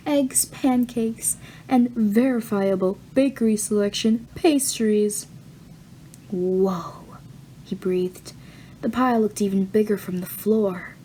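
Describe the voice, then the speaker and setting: Mellow woman narrating a story. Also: mid-range voice, slight background noise, rough recording. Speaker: audiobook narrator. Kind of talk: reading fan fiction aloud.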